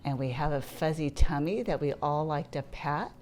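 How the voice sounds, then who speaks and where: A woman's voice: low voice, normal speed, a little mellow, soft background music. A woman reading a picture book aloud to young children in a playful, interactive storytelling manner. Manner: playful, asks listeners questions and points things out.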